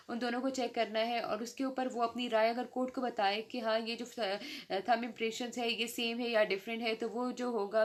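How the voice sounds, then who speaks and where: A woman with a Pakistani accent and a slightly high voice teaches as a lecturer. Her delivery is informal and explanatory, moving between reading formal terms and putting them in plain words. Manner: explanatory, slows down to read out English legal terms. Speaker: law lecturer. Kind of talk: informal lecture explaining legal articles, mixing Urdu and English.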